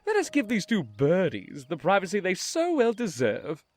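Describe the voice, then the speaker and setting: Older retired carpenter, flamboyant and gracious, hugely animated intonation, fond teasing; audio play. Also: male voice, high-pitched, normal speed, heavily acted. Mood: knowing, breezy. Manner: theatrical, effusive, affectionate teasing. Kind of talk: fan audio drama acting. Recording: music under the voice.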